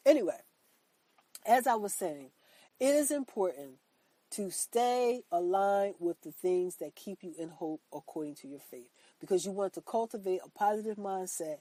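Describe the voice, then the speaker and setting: A woman's voice: medium pitch, expressive, moderate pace, mellow, dry recording, rough recording. A woman hosting a motivational faith podcast, sounding congested from a cold, talking earnestly and repeating her points for emphasis. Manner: earnest, repetitive, emphatic preaching; affirming herself with "that's right". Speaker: podcast host.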